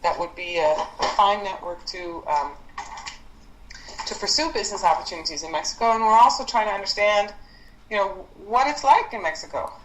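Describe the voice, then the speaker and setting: A woman's voice: mid-range voice, a little fast, a little hiss or hum. Woman instructor on a roomy conference call. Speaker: programme leader and instructor. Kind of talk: informal conference-call discussion.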